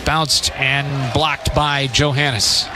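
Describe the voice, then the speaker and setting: A man calling live baseball play-by-play on the radio in measured, clipped phrases with pauses between them. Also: mid-range voice, fairly even intonation, noisy background. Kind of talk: live radio sports commentary.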